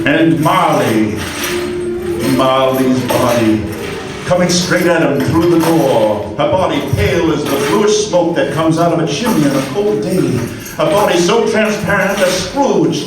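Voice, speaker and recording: Male narrator, measured storytelling, slow, recorded far off in an echoey room with noise. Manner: slow, measured, dropping low on key words. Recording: echoey room from far off, noisy live stage.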